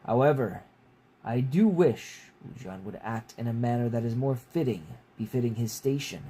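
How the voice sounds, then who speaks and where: Male reader as proud storybook patriarch, deep and commanding, formal delivery, noisy recording. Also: animated, moderate pace, mellow, close to an everyday voice. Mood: stern, proud. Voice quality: deep, rumbling. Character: stern, deep-voiced, proud father and clan patriarch. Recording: clear background noise.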